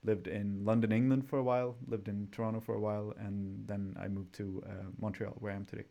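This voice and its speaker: A man from Sweden hosting a casual interview over a remote call, talking in a relaxed, rambling way with frequent restarts. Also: on the low side, a little fast, creaky. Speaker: podcast host. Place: home.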